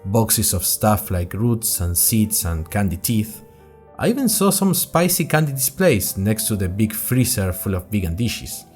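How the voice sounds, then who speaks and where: A man's voice: on the low side, a little mellow. A man playing an earnest young college student who tells his story in a wry, conversational way, as host of an audio drama diary. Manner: conversational, wry, addresses listeners directly. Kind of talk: scripted first-person audio drama narration.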